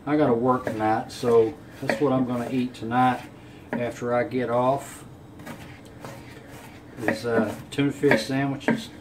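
A man, a home cook casually talking through a recipe in a folksy, unhurried way. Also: mid-range voice, steady tone. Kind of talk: casual cooking demonstration. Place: home kitchen, indoors. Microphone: camera on counter a short way off. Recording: clear room noise in a kitchen.

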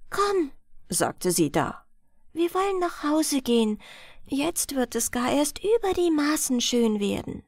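A woman reading a fairy tale aloud as a bedtime audiobook, in a gentle storytelling manner. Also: high-pitched, dry recording, studio quality. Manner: slow, gentle storytelling with lively, sing-song melody. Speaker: audiobook reader.

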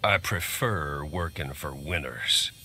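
Surly male mercenary, very low, slow, gravelly, loud game noise. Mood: taunting. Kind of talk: video game character acting.

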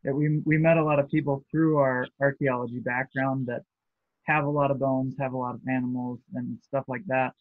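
A young man speaking as a guest on a video call, easygoing and quick to laugh. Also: mid-range voice, flat delivery, no echo. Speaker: guest, shop co-owner. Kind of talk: casual video call interview. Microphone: shared video call. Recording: tinny phone-like call audio.

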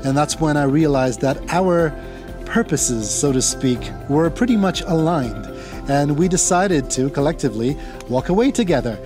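A man in his thirties from Seychelles chats easily to camera in a garden. His voice sits slightly high for a man. Clear music plays under his talk. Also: expressive, normal speed. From Seychelles and Kenya. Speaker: company co-founder. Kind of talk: casual presentation to camera. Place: outdoors in a garden. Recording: music playing behind.

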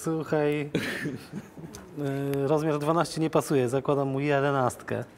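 A man's voice: on the high side, fairly even intonation, slow, a little hiss or hum. A man hosting in casual, jokey chat, talking informally with slang as he gets guests set up. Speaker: host fitting guests with lapel microphones. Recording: loud music over the voice.

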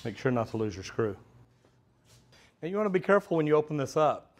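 A man's voice: a little high, sing-song, fast talker. A middle-aged man, a plumber, giving a hands-on instructional demonstration in a metal-walled storage unit, talking practically through a lapel mic. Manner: plain-spoken, practical, addresses viewers as "guys".

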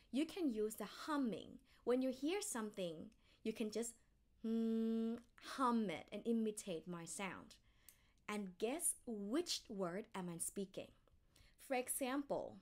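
A woman in her thirties with a Thai accent, teaching an online language lesson, modeling sounds slowly and carefully and humming to show tones. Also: a little high.

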